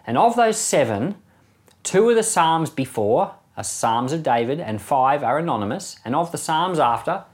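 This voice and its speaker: A man's voice: on the high side, big pitch swings, moderate pace. A man who is a pastor giving informal Bible commentary in a conversational, enthusiastic way, posing rhetorical questions. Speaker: pastor teaching a Bible podcast.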